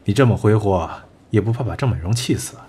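An actor playing a blunt, proud film producer in a radio drama, curt and sardonic. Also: male voice, on the low side, fast talker, dark tone, husky. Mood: businesslike. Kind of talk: Chinese radio drama acting.

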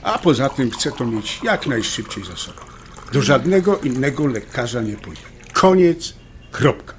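Actor as stubborn, loving husband: natural mid-pitched delivery, light restaurant clatter behind. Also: male voice, sing-song, normal speed, slight background noise, natural voice. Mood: stubborn, decided. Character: stubborn, affectionate husband, Polish emigrant. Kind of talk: naturalistic audio drama acting. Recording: faint clinking restaurant background. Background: cutlery and dishes clinking.

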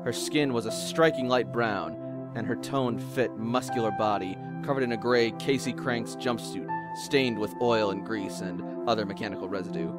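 A man's voice: fairly low pitch, moderate pace. Male story narrator over music.

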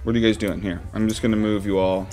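A man narrating and running a tabletop roleplaying game, relaxed and often laughing with his players. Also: fairly low pitch, fast. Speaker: game master.

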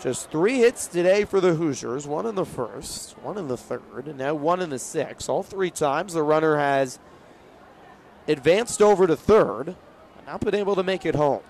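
A man's voice: fairly high pitch, lively intonation, normal speed, vocal fry, darkish tone. A young man doing baseball play-by-play for radio as the announcer. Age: young adult. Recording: faint ballpark noise behind.

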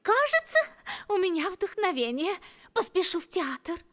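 A woman's voice: very high voice, very animated, measured pace, fairly bright tone, full character voice. A woman voicing a lonely, romantic harp in a cartoon, dreamy, languid and theatrical. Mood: inspired, dreamy. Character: lonely, romantic, melancholy female harp, artistic soul. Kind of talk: animated cartoon voice acting.

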